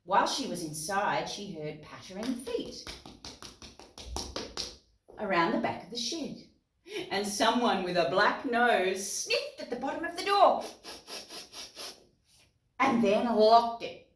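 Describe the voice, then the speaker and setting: A woman reading a story aloud to young children in an expressive, dramatic way, with asides to her listeners. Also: mid-range voice, slow, pauses often, a little hiss or hum. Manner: expressive, dramatic gasps and exclamations. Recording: roomy echo, distant mic, music.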